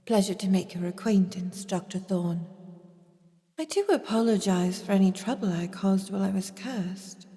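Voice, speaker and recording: A woman's voice: mid-range voice, moderate pace, mellow, natural voice. A woman playing a polite, anxious duchess and devoted mother in an audio drama, with a formal, emotional manner. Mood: apologetic, grateful. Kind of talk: scripted audio drama acting. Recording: long hall-like reverb.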